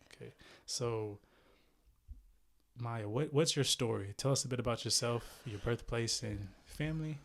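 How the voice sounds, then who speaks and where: A friendly podcast host, a man in his thirties, with a voice of everyday medium pitch. He introduces his guest casually and fills his pauses with small fillers. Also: vocal fry. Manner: friendly, fills gaps with um and okay. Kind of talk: podcast interview.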